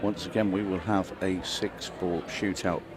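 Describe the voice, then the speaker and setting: A man giving brisk play-by-play commentary on a pool match, with dry asides. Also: low voice, steady tone, a crowd in the background. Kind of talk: live sports commentary.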